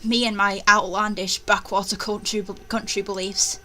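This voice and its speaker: A woman's voice: medium pitch, level tone, normal speed, pushed and bright, slight background noise, natural voice. A woman voicing an anxious, superstitious young estate agent in a horror visual novel, with a dramatic, uneasy manner. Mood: exasperated, scared. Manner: dramatic, uneasy inner monologue. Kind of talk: visual novel voice-over reading.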